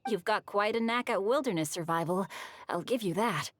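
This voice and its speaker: A woman's voice: medium pitch, normal speed, a little hiss or hum, close to an everyday voice. A woman voicing a refined, bookish teenage swordsman in a video game, articulate and poised. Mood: poised, easy.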